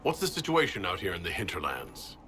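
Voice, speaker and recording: A man's voice: sits low, huge pitch swings, fairly quick, pushed and bright, a little hiss or hum, full character voice. A man playing a blunt, sceptical fantasy hero in a video game cutscene, asking curt and wry questions. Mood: curt, questioning.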